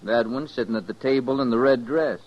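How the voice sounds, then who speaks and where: An actor playing a cocky, defiant ranch hand with an American accent in a muffled 1950s radio western. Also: male voice, mid-range voice, brisk, slight background noise, close to an everyday voice.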